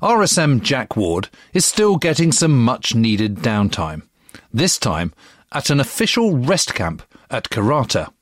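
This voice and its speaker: A man's voice: medium pitch, big pitch swings, unhurried, dry recording, studio quality. A man hosting a history podcast, narrating conversationally with playful asides. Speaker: podcast host.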